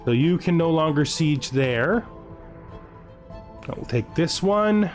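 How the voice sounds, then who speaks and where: A man's voice: a little high, lively intonation, takes it slowly, soft background music. A man giving casual let's-play commentary, thinking aloud and muttering short asides to himself as he plays. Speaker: gamer narrating his own playthrough.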